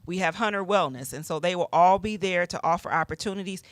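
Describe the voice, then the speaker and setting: A woman's voice: on the low side, fast talker, dry recording. A woman speaking conversationally on air as a panelist promoting an event, encouraging and repeating phrases for emphasis. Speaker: ministry leader and radio panelist promoting an event.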